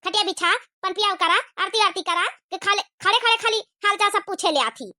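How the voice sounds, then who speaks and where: An angry, haughty visiting auntie from a village cartoon, played by a woman. She complains and demands in an Awadhi accent, in a piercing, extremely high voice. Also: brisk, pushed and bright, exaggerated voice. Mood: demanding. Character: hot-tempered, demanding elder sister visiting as guest. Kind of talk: exaggerated cartoon comedy dialogue.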